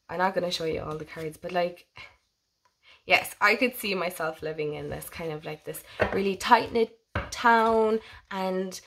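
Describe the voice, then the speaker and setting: A young woman with a hoarse voice from a head cold, chatting casually and gushingly as she shows her tarot decks on her own channel. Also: mid-range voice. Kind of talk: casual show-and-tell vlog.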